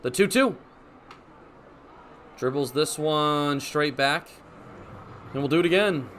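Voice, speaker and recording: Young guy doing radio baseball play by play, high bright voice, some stadium noise behind him. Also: slow. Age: young adult. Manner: crisp, descriptive phrases with excited calls on big plays. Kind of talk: live sports commentary. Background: ballpark ambience.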